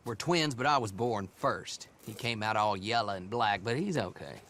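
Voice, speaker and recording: A sly backwoods horse fence with an American Southern accent. He is talkative and teasing, with a bright, very animated delivery over faint ambience. It suits a Western game. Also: lowish voice, moderate pace, slight background noise. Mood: teasing, amused. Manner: garrulous, folksy, sly haggling. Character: chatty, shady rural horse fence, folksy, teasing twin brother.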